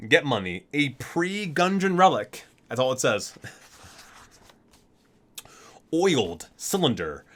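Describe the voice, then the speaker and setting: A man's voice: on the high side, fairly bright tone. A man hosting an ASMR video, reading guidebook entries aloud and sometimes stumbling and correcting himself.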